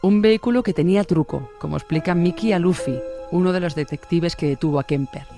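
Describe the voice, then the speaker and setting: A male narrator with a slightly high voice and a Castilian Spanish accent tells a true-crime story in scripted radio style. Faint music plays underneath. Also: brisk, mellow. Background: music bed.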